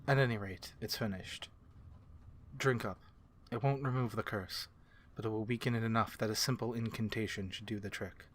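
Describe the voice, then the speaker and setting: Man playing a low-voiced, courteous vampire. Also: steady tone, slight background noise, natural voice. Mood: calm, instructive. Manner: measured, formal, gently reassuring. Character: calm, courteous male vampire ally to humans. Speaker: ASMR roleplay performer. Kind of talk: soft-spoken ASMR fantasy roleplay.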